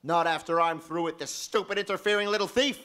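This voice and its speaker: A man's voice: high voice, steady tone, fairly quick, pushed and bright. A man acting a desperate, jealous murderer in an old-time radio melodrama, overwrought and defiant. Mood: bitter, defiant.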